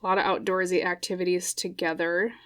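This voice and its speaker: A woman with a somewhat low, slightly scratchy voice talks through a tarot reading into a microphone. She rambles and reflects aloud, often stopping to check in with her listeners. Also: little pitch movement, brisk, a bit bright. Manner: rambling and reflective, tag questions like "okay?". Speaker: tarot reader. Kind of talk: intuitive pick-a-card tarot reading.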